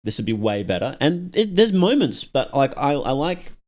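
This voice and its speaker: Casual male streamer with a lively pitch. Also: moderate pace. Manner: casual, thinks aloud, repeats lines back. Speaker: live streamer. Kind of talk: live reaction commentary.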